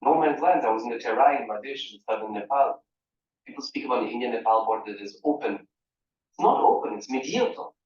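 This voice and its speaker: A man from Portugal makes his case on a discussion panel, animated and restless, stopping to restart phrases and checking in with "right?". He sounds distant from the mic, and the echo of a large room rings around him. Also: medium pitch, fairly quick. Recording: roomy, distant, dull hall mic.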